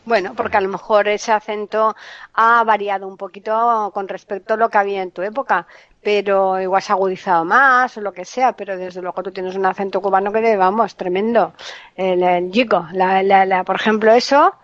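A woman's voice: medium pitch, steady tone, normal speed, dry recording, muffled recording. Emphatic woman, dry radio sound. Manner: emphatic, firm. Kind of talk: casual chat.

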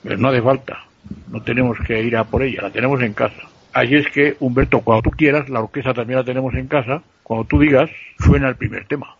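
A man from central Spain with a Spanish accent, co-hosting a radio show. His voice is gravelly and husky, and he is playful and teasing as he explains things at length. The sound is dull and lo-fi, like a radio recording. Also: mid-range voice, fairly even intonation, fast talker. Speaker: radio co-host. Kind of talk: casual radio chat.

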